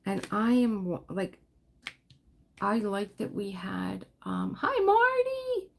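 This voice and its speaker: A woman hosting a relaxed livestream, chatting in a rambling way while she crafts and answers viewers. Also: mid-range voice, slow pace, vocal fry. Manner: rambling, chatty, laughs often, reads and answers viewer comments. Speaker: livestream host. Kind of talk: casual livestream chat while diamond painting.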